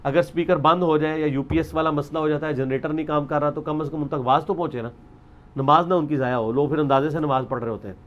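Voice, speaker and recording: A Pakistani man with a slightly high voice speaks as a religious lecturer. He talks off the cuff, building an argument point by point and explaining as he goes, dropping English words into his speech. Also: steady tone, quick pace, highs cut off. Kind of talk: informal religious lecture, extempore.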